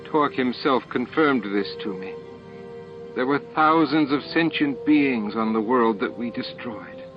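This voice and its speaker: A man's voice: medium pitch, unhurried, pitched above the performer's own voice, natural voice. A man voicing an earnest, troubled young female alien scientist in an audiobook drama. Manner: earnest, anxious, hesitant. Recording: dull lo-fi audio with music.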